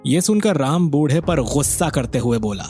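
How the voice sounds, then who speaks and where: A husky-voiced man reading scripted narration for a moral story. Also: a little high, lively intonation, normal speed, dark tone, soft background music.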